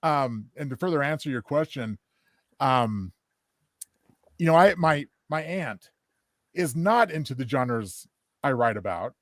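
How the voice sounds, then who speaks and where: A man co-hosting a podcast in casual chat, speaking earnestly in wordy, halting sentences. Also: mid-range voice, lively intonation, no room sound. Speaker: podcast co-host.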